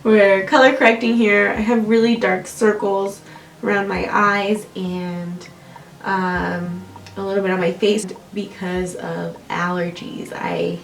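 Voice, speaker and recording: Young woman, American accent, nasal and congested, chatty tutorial talk, medium pitch, echoey room, distant mic. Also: level tone, slow, creaky. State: congested from allergies. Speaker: beauty vlogger. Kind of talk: casual makeup tutorial talk-through. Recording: roomy echo, distant mic, faint music. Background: music.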